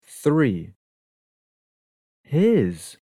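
A woman reading an English listening test aloud, slowly and deliberately, saying each item twice. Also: low voice, huge pitch swings, mellow, no room sound, studio quality. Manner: reads each item twice, evenly spaced. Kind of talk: slow, deliberate test dictation.

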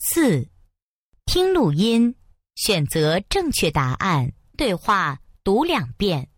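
Slow, lilting woman announcer. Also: mid-range voice, big pitch swings, no echo, clean studio sound. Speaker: exam announcer. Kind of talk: reading test instructions.

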